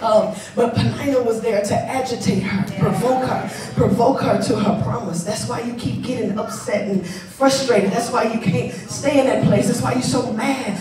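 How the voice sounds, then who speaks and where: A woman of thirty-nine preaching on a church stage with a handheld mic, chatty and playful, then building to shouts. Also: a little high, moderate pace, hardly any pauses. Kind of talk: sermon. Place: large church sanctuary, stage. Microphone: handheld microphone. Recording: echoey hall, far mic, crowd responses. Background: congregation calling back.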